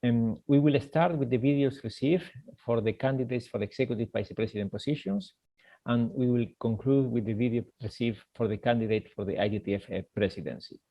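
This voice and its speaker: A man chairing a formal online meeting, speaking in a measured way with frequent "uh" pauses. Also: mid-range voice, lo-fi sound. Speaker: meeting chair, secretary general.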